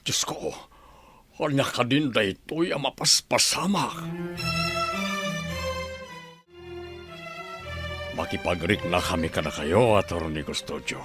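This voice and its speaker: An actor playing a crafty, blustery general in a radio drama, sly and theatrical, with an Ilocano accent. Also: male voice, fairly low pitch, expressive, normal speed, over music. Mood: feigned concern. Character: blustery male general, crafty crony of politicians.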